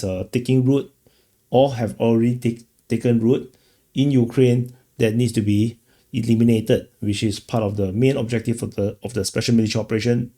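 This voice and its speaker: Man, commentator, relaxed dark tone, vocal fry, casual, halting, rambling, repeats himself. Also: medium pitch. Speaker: commentator, show host. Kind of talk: casual off-the-cuff political commentary.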